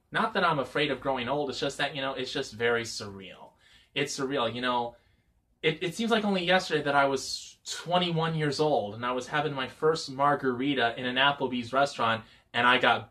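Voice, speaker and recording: Rambling young man, bright voice. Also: medium pitch, normal speed. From Michigan, US. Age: 20s. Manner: rambling, many "you know" fillers. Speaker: vlogger. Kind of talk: casual talk to camera.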